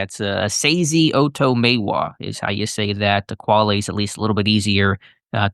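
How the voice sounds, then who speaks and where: An American man with a fairly low voice talks through sports analysis as a podcast co-host. His delivery is conversational, fluent and informative, recorded close and dry. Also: fairly bright tone, smooth, dry recording.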